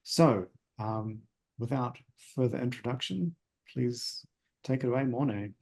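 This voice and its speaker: A man hosting an evening talk, introducing the speaker politely and haltingly over a video call. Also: medium pitch, creaky, a little mellow. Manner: halting, polite, with frequent pauses. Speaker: host of a society talk. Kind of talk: formal introduction.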